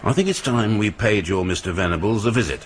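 An actor playing a shrewd, methodical British police inspector in a radio drama, speaking in a measured, formal way with a husky voice. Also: male voice, fairly low pitch, expressive, lightly acted. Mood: brisk, reasoning.

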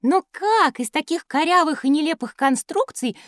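High, lively inquisitive girl. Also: normal speed, no echo, studio quality. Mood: inquiring. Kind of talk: educational audio drama. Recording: clearly noisy, birdsong behind. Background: birdsong, outdoor sounds.

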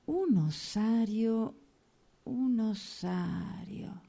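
A woman's voice: fairly high pitch, expressive, very slow, gravelly, dark tone, heavily acted. A woman reading a gloomy, brooding tramp in an amateur radio play.

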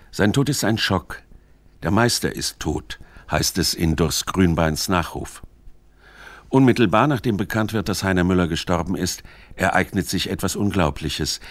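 A man's voice: deep voice, dry recording. A man reading scripted narration for a literary radio documentary.

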